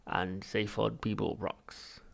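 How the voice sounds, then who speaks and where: A man voicing a brutish, dim, menacing alien captain in an audiobook, croaking and rumbling in grunts. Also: little pitch movement, takes it slowly, exaggerated voice. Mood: slow, grim. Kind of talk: audiobook character voice.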